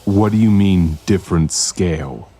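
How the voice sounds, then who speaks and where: An actor playing a grim, protective male investigator who talks tersely and deliberately in an audio drama. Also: low voice, warm tone. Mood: grim, probing.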